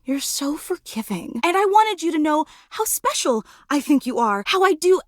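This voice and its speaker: A woman voicing an adoring, anxious superfan in a video game, effusive, dramatic and quick to put herself down. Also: high-pitched, very animated, no echo, studio quality. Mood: adoring, hopeful. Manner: effusive, dramatic, self-deprecating. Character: gushing, anxious, adoring superfan, an animate household object. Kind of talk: video game character voice acting.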